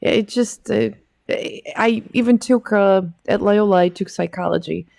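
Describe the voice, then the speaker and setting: A woman's voice: medium pitch, a little slow, creaky, a little mellow, no room sound, studio quality. A woman, an attorney guest on a radio interview, speaking earnestly and fondly about her work. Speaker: real estate attorney, guest. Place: radio studio.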